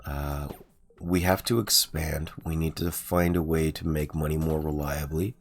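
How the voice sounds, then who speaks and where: A man with a sore, raspy throat, chatting casually and rambling through let's-play commentary, with frequent ums and uhs. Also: extremely low pitch, level tone, a little slow, a little hiss or hum. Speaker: gaming streamer.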